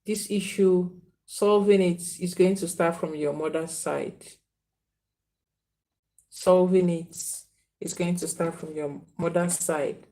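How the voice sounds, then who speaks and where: A woman's voice: on the low side, steady tone, slow, long pauses, warm tone. A woman giving spiritual readings on a live stream, repeating names and phrases and earnestly scolding viewers. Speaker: spiritual reader.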